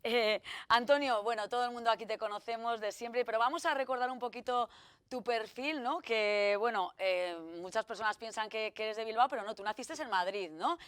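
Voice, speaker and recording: A woman's voice: a little high, fairly quick, a bit bright, no echo. Chatty woman TV host, dry close sound. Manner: rambling, many fillers and self-corrections. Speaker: TV show host. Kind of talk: chatty TV interview.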